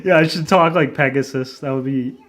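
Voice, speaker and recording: A man's voice: medium pitch, a little fast, a bit bright. A man who develops games, chatting loosely with his livestream viewers in a rambling way, laughing often and swearing casually. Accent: non-native accent in Japanese. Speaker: indie game developer streaming. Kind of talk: casual livestream chat, answering chat. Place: lives in Japan as a foreigner.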